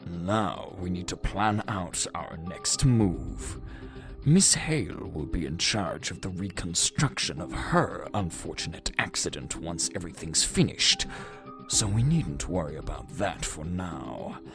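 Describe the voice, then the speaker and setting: A man voicing a cold, condescending villain leader in a fan audio drama, speaking in a sneering, mocking manner. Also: sits low. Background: music score.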